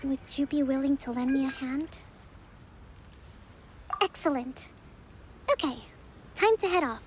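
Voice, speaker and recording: Young priestess leader, high pitch. Gentle yet firm negotiator, formal and measured, video game dialogue. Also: female voice, slight background noise. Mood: earnest, gentle.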